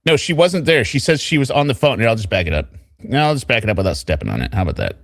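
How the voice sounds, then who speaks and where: Guy hosting a recap show, casual chat, animated, pitch all over, dry mic. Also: rapid-fire, dry recording. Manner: animated, mimics quoted people in a raised voice. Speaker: host of a trial recap show. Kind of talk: casual commentary with read-out quotes.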